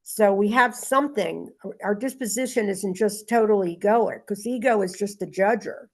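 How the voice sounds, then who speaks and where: Woman with a creaky, gravelly voice. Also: mid-range voice, normal speed, a little mellow. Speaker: study group reader and discussion leader. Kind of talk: reading aloud and informal spiritual discussion.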